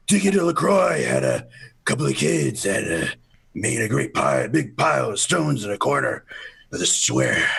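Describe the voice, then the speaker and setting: A man playing a folksy small-town storyteller, narrating a radio play in a raspy, halting, dramatic way. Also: mid-range voice, a bit bright, exaggerated voice.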